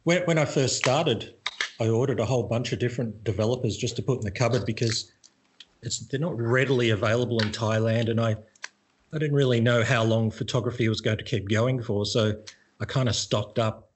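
A middle-aged Australian man chatting casually as a guest, relaxed and self-deprecating, often restarting his sentences. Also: medium pitch, fairly quick, a little hiss or hum. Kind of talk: casual conversation.

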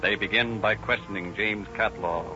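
An actor as an earnest police-show narrator, clipped, urgent and formal, in 1930s scripted radio style. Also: male voice, lowish voice, steady tone, normal speed, over music, natural voice. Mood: brisk, urgent. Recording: dull vintage radio recording.

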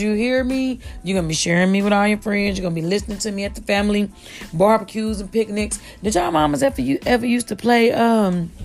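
A woman with a raspy voice hosting her own podcast from home, rambling casually and full of slang. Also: medium pitch. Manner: slangy, chatty, addresses listeners as y'all. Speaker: podcast host.

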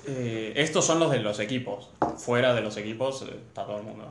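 A man's voice: lowish voice, brisk, background noise. A young man with a Rioplatense Argentine accent holds forth in a casual sports chat. His talk is colloquial and opinionated. The room around him rings with echo. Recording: roomy, echoey room.

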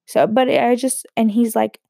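Young woman with a cold-roughened voice. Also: on the high side, moderate pace, no echo, clean studio sound. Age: young adult. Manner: animated, self-interrupting, joking asides. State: fighting a cold, throaty voice. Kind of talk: casual rambling storytelling.

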